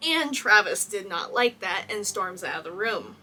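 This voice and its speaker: A woman's voice: medium pitch, animated, normal speed, pushed and bright, faint music behind. A young woman from Texas, a cosplayer, chatting enthusiastically through a casual movie costume analysis and laughing often. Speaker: cosplayer and video host.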